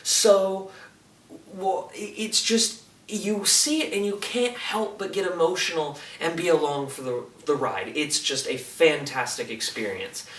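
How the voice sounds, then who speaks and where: A man's voice: on the high side, unhurried, fairly bright tone. A man giving a casual, unscripted movie review as a video host, speaking enthusiastically and emphatically with informal slang. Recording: roomy echo, distant microphone.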